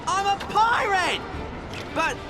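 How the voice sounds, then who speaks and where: An actor playing a cheerful, roguish pirate who narrates in a hammy, theatrical storybook style for a video game. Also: male voice, very high voice, lively intonation, slow pace, a loud crowd, exaggerated voice. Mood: cheeky. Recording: loud music behind the voice.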